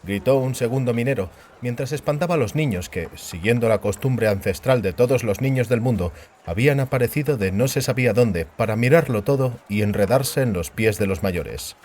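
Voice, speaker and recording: A man's voice: mid-range voice, a little fast, darkish tone, a little hiss or hum. A man narrating fantasy fiction as a dramatic, scripted audiobook. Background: background music.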